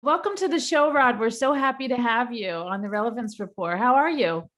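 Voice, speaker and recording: A woman's voice: on the high side, animated, brisk, fairly bright tone. Friendly woman podcast host. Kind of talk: interview hosting.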